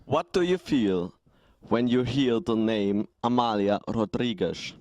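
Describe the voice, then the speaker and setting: German student asking scripted questions. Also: male voice, lowish voice, a little slow, darkish tone, a little hiss or hum. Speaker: student interviewer. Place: outdoors in front of a museum.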